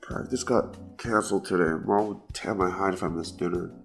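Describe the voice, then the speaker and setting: A man's voice: deep voice, moderate pace, gravelly, faint music behind. A young man playing a weary, wry student superhero in an audio drama, casual and dryly sarcastic. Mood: tired, casual.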